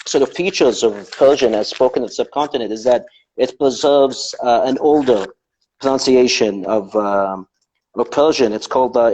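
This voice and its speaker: A man hosting a remote interview, speaking in a thoughtful, measured way with many fillers. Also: mid-range voice, a little mellow, slight background noise, low-quality recording. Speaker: host. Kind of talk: conversational interview.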